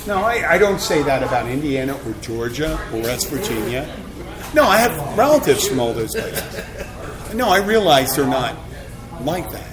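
A man's voice: a little high, big pitch swings, normal speed. A man with a Philadelphia accent hosting a casual chat, rambling and teasing. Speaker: show host. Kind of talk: casual radio-style chat. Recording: roomy venue with loud crowd.

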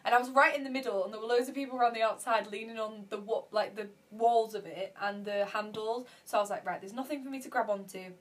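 A young woman telling stories in a casual podcast chat, animated and laughing often. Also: a little high, fast talker, hardly any pauses. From Yorkshire, England. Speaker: podcast co-host.

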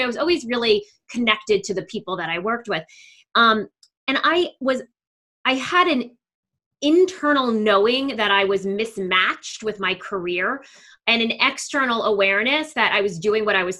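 Interview guest: middle-aged woman, New York accent, bright tone, lots of ums. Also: medium pitch. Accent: New York area. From northern New Jersey. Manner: frequent ums, thoughtful and earnest. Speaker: coach, guest. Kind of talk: conversational interview.

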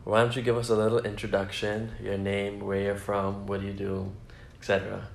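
Male host, lowish flat delivery, measured questions, faint background hiss. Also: gravelly. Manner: measured, asks long questions. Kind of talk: podcast interview. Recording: echoey room, faint ambience.

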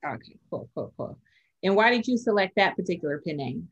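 A woman's voice: lowish voice, lively intonation, brisk, slightly rough, highs cut off. A woman hosting an interview show, upbeat and encouraging toward her guest. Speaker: podcast host.

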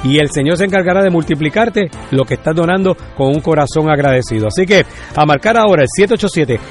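A man making a religious radio donation appeal and slowly repeating a phone number. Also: fairly high pitch, animated, faint music behind. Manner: slow, deliberate repetition of a phone number. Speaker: radio fundraiser announcer.

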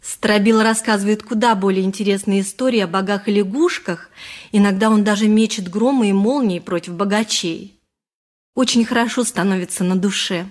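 An actress playing a simple, thoughtful milliner in a radio play, narrating and talking in an ingenuous, musing way. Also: female voice, mid-range voice, normal speed, natural voice. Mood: reflective.

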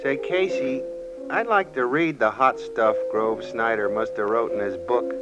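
An actor playing a naive, curious American pal in an old-time radio crime drama, with folksy, ungrammatical talk. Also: male voice, medium pitch, unhurried, highs cut off, close to an everyday voice. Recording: old radio recording, loud music behind.

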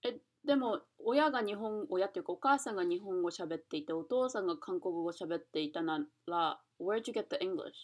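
A woman's voice: fairly low pitch, racing, hardly any pauses. A woman co-hosting a casual podcast chat, listening closely and switching between languages. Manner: attentive listener, frequent backchannels, switches languages. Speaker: podcast co-host.

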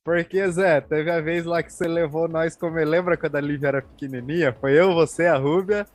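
A man's voice: a little high, steady tone, brisk, soft background music. A man with an interior São Paulo accent from the Sorocaba area, hosting a podcast in a laid-back, slangy, teasing way. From Sorocaba region, São Paulo state. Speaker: podcast host. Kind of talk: casual chat.

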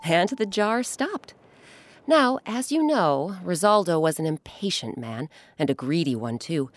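A woman hosting and narrating a scripted children's story in an expressive, dramatic storytelling manner. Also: lowish voice. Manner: expressive, dramatic pauses, addresses young listeners. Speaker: host and narrator. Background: music.

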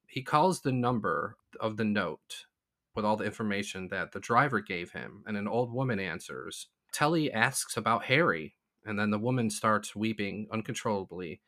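A man's voice: lowish voice, animated, normal speed, bright, forceful tone. A man co-hosting a talk show, telling an anecdote in an informal storytelling style.

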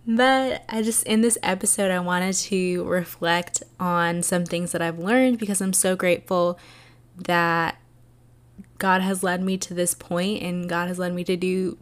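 A young woman hosting her own podcast, talking alone in a soft, soothing and earnest way, with many fillers. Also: fairly low pitch, fairly even intonation, a little slow. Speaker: podcast host. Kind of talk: reflective solo podcast talk.

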